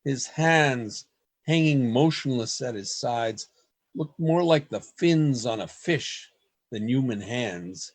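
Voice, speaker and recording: A man playing an anxious writer narrating his secret diary in a radio play, in a deliberate storytelling manner. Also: mid-range voice, animated. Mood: hushed dread.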